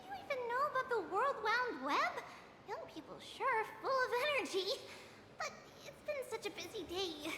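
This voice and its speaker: Tiny, chatty winged goddess; very high and lively. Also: female voice, moderate pace, a little hiss or hum, heavily acted. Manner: bubbly, sing-song and lively. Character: tiny winged girl-like demigoddess, cheerful and chatty. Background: game music.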